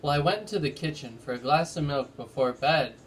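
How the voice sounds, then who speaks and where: Man playing young storybook boy, eager, earnest, higher pitch, faint noise behind. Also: a little fast, close to an everyday voice, poor audio. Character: eager, sleepy-headed young boy in a children's mystery. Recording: noticeable background noise.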